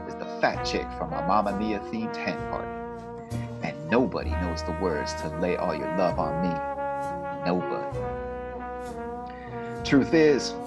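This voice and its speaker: A man narrating a tongue-in-cheek hard-boiled radio play in a deadpan, dramatic manner. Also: lowish voice. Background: music under the voice.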